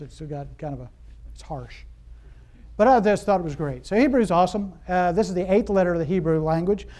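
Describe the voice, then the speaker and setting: A man preaching a sermon in a loose, conversational way, with many restarts and fillers and bursts of excitement. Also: on the high side. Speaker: pastor.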